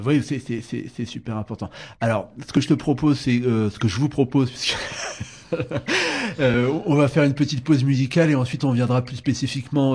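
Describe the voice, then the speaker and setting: A man's voice: medium pitch, a little mellow. A man hosting a radio interview, talking casually with many fillers and restarts. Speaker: radio show host.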